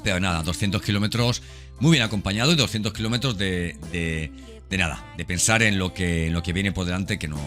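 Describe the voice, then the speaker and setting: Deep, bright-voiced Spanish man podcasting. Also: brisk, faint music behind. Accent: Spanish, from Spain. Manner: conversational and enthusiastic, repeats words, seeks agreement with tag questions. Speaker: podcast host. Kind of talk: casual spoken podcast monologue.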